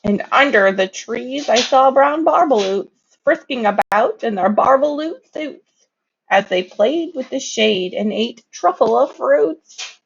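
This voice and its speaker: A woman voicing a secretive old hermit creature from a storybook, telling his past with slow, wistful drama. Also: fairly high pitch, animated, creaky, husky, lo-fi sound, pitched above the performer's own voice, heavily acted. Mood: wonderstruck.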